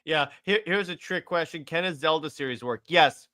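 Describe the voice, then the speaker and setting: A man's voice: on the high side, normal speed, sharp tone. A man hosting a casual livestream discussion, often stumbling and stuttering over his words. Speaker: podcast host.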